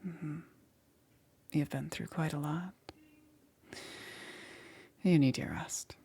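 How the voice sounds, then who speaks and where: Woman playing a slow, whispering, coaxing witch. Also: low voice, steady tone, full character voice. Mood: tender, soothing. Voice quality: breathy, whispery. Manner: slow, hushed, soothing and gently persuasive. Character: soft, coaxing witch, a caring self-confessed monster. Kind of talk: ASMR roleplay.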